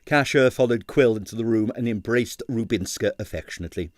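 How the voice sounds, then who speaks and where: A man's voice: mid-range voice, a little fast, dry recording. Man narrating expressively, very dry sound. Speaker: audiobook narrator. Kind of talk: scripted literary narration.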